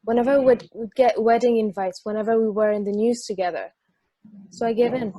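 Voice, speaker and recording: A woman's voice: a little high, fairly even intonation, a little fast, dark tone, no echo, muffled recording, close to an everyday voice. A woman playing a playful, teasing long-married wife in an amateur table read of a play.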